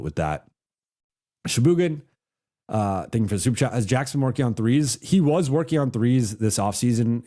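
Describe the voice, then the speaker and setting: A man hosting a live basketball reaction stream, congested and losing his voice, talking casually with many halting restarts. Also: fairly low pitch, mellow. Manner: halting, with frequent restarts and "like" fillers. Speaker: basketball reporter hosting a livestream. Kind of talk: casual live reaction, reading super chats.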